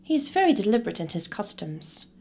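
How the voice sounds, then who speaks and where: A woman's voice: medium pitch, big pitch swings, quick pace, mellow, a little hiss or hum. A woman playing the storyteller, narrating a classic tale as scripted radio drama. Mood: measured.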